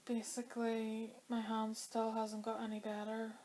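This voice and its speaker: Flat-voiced woman with a dry throat. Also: fairly high pitch, unhurried. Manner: chatty and rambling, with many fillers. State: dry throat, coming down with a cold. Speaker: knitting vlogger. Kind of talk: casual chat to camera.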